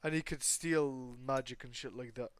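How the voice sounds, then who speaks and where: Clear-voiced man casually rambling through a story. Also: medium pitch, fairly even intonation, no room sound. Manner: rambling, halting, casual swearing. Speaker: storyteller and host of a fan what-if channel. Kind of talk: casual improvised retelling of anime fan fiction with acted dialogue.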